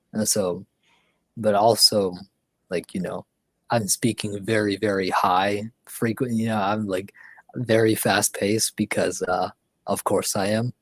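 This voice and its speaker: Male stream host, low-pitched, dry close mic, loose rambling chat that dips into near whispers. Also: no room sound, poor audio. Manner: rambling, filler-heavy, drops to near whispers. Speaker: livestream host. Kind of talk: casual chat.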